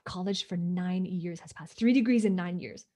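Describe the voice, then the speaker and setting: A woman's voice: on the low side, normal speed, warm tone. Woman from hong kong, non-native english, emphatic guest storyteller, checks in with "right?". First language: not English. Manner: emphatic, repeats "right?" for agreement. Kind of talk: interview storytelling.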